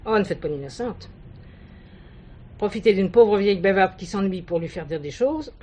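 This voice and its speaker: A woman's voice: medium pitch, lively intonation, moderate pace, darkish tone, natural voice. An actress playing an offended, stubborn woman who serves customers, curt and guarded, in a theatrical drama. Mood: reproachful, hurt. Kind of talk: French stage or TV play acting.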